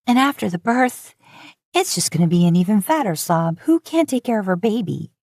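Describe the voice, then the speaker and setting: A woman's voice: medium pitch, lively intonation, moderate pace, darkish tone, no echo, voice pushed up. Casting: young expectant mother acted by a female reader, prickly and insecure, plaintive delivery, dry close recording, audio drama. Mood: tearful, bitter. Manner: defensive, plaintive. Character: emotional, self-doubting young pregnant woman, prickly. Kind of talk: acted dialogue in audio fan fiction.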